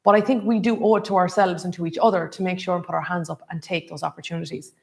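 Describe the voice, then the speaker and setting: A woman's voice: fairly low pitch, steady tone, fast talker, creaky. A woman moderating a panel, speaking emphatically with rhetorical questions. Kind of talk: scripted panel introduction.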